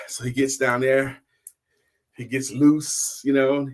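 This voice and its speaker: A middle-aged man telling stories casually to an audience, with an animated, emphatic manner. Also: mid-range voice, slow. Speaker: storyteller and sales coach.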